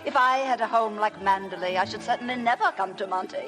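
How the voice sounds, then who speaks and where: An actress playing a bossy, gushing middle-aged society matron with a snobbish air in a vintage radio drama. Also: female voice, mid-range voice, brisk, natural voice. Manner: imperious, gushing. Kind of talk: 1950s radio drama acting. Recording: vintage radio broadcast with loud music.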